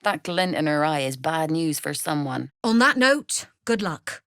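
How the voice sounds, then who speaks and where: A shrewd female smuggler-merchant from a fantasy video game. She talks unhurriedly, with pitch that rises and dips in lively sweeps. Her manner is sly, wry and all business, and the sound is close and dry. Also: measured pace, smooth, dry recording, heavily acted. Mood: cool, calculating. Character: shrewd, worldly female smuggler-merchant of a criminal trade network. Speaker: trader and guild agent. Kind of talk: video game character dialogue.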